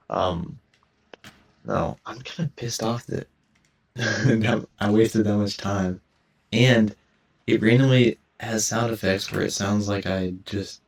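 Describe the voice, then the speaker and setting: Deep gravelly-voiced teen guy rambling. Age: teens. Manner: halting, restarts and stutters, self-conscious. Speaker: gaming commentator. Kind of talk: rambling casual commentary over gameplay. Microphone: cheap headset mic.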